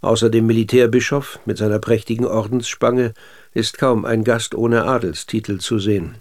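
An actor playing a polite, persistent interviewer and narrator in a radio drama, speaking in a measured way. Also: male voice, lowish voice, fairly even intonation, a little mellow, natural voice. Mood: measured, narrating. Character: polite, probing male interviewer questioning a dead general.

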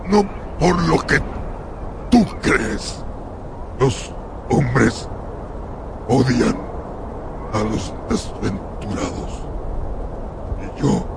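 An actor playing a gigantic, sorrowful creature of dead flesh in a radio drama, speaking gravelly, halting, broken phrases. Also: male voice, medium pitch, noisy background. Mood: bitter, wounded. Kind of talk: Spanish-language radio drama acting.

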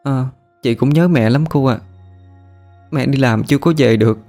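A man voicing a young girl who looks after her little brother, speaking gently and soothingly. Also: medium pitch, normal speed, faint music behind, voice pushed up, natural voice. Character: young girl, caring older sister, tearful.

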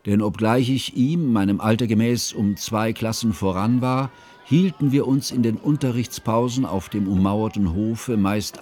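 An actor playing a bookish, self-conscious scholar who recalls his youth in measured, ornate literary narration for a radio play. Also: fairly low pitch, a little mellow, natural voice. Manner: measured, formal, ornate phrasing. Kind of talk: literary first-person narration, German radio drama.

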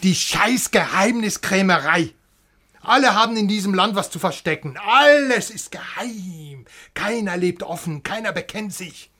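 Casting: passionate, probing male friend, high voice, very animated, needling delivery, radio crime drama. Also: unhurried, full character voice. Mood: bitter, hurt. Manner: agitated, needling, repeats himself. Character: exasperated, wounded West German friend, passionate and probing. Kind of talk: naturalistic radio drama acting.

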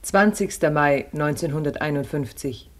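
A woman giving crisp, short phrases of scripted narration in a radio drama. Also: low voice, moderate pace, natural voice.

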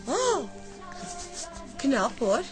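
A high-voiced wife, homely and naive, in a radio comedy. She swoops up and down with little exclamations, easily impressed and quick to fret. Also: huge pitch swings, music in the background, heavily acted. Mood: impressed, curious. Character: Toos, chatty, fretful, easily impressed working-class wife. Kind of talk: Dutch radio comedy drama acting.

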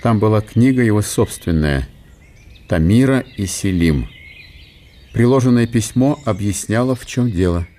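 A man's voice: on the low side, lively intonation, normal speed, warm tone. A man reading scripted literary narration for a radio drama.

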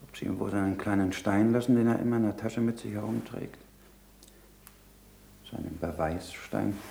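Gravelly, low-voiced grave disciple. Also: male voice, fairly even intonation, normal speed, dark tone. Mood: anxious, reflective. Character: grave, reflective male disciple keeping an anxious vigil. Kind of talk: 1960s radio play acting. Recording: echoey 1960s radio studio.

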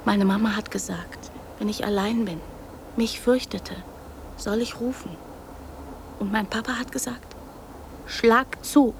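A woman's voice: mid-range voice, steady tone, unhurried, long pauses, slight background noise, close to an everyday voice. A woman playing a precocious, brazen young girl in a radio drama, speaking in blunt, childlike short sentences. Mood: quiet, confiding.

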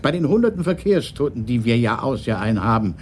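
A man's voice: medium pitch, sing-song, fairly quick, a little hiss or hum, close to an everyday voice. A man playing an irascible, blustering older police chief in a radio crime play, grumbling and repeating words. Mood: grumpy, sceptical. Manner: blustering, grumpy, stammering repetitions. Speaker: actor in a radio crime drama. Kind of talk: 1980s German radio play acting.

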